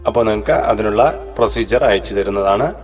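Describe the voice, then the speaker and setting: A man giving a formal, measured, explanatory religious discourse in the manner of a scripted audio blog host. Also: fairly low pitch, faint music behind.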